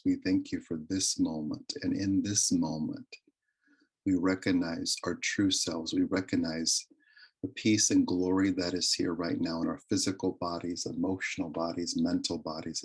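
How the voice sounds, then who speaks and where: A man leading a guided meditation with prayer and affirmations, speaking in a soothing, deliberate, reverent way. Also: deep voice, slightly rough, rough recording. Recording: live recording.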